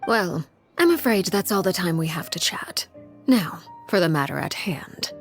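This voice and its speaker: An actress playing a poised, coolly menacing aristocratic diplomat in a video game, speaking in a formal, measured manner. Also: female voice, fairly low pitch, very animated, in a large hall. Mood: cool, businesslike. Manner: formal, measured, veiled threats. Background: music.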